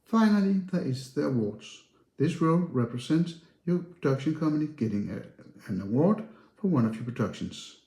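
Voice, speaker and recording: Echoey, mellow man explaining game rules. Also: medium pitch. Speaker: board game presenter. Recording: roomy, echoey sound.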